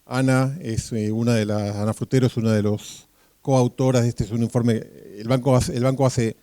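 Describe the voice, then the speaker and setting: A man's voice: mid-range voice, steady tone, normal speed, dark tone, dry recording. A man introducing a speaker at a public event, rambling with many fillers and small jokes.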